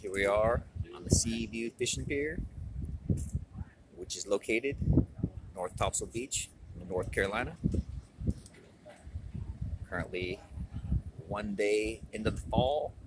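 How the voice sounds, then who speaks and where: A man's voice: on the low side, takes it slowly, pauses often. A man giving a plain, matter-of-fact fishing report, filmed outdoors on an ocean pier with a handheld phone. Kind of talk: casual fishing report while filming. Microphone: handheld phone camera mic. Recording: loud wind and surf noise.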